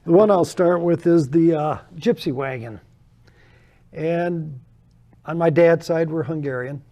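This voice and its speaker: A man's voice: fairly high pitch, unhurried, mellow. An older American man, a guest in an interview, chatting casually and telling anecdotes about his hobby with frequent laughs. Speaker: guest model builder.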